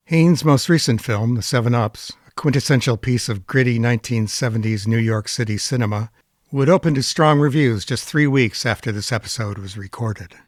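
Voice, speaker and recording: A man's voice: medium pitch, dry recording, clean studio sound. A man reading a scripted introduction as the presenter of an archive radio show.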